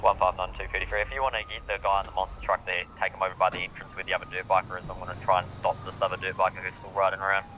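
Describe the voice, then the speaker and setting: Very fast, low-voiced man on police radio. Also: monotone, background noise. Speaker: player roleplaying a police officer.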